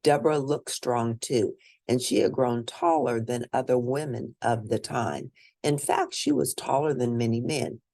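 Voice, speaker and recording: A woman reads a picture book aloud in an expressive, teacherly way, speaking to young listeners. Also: very deep voice, unhurried, no echo. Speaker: children's read-aloud narrator and educator.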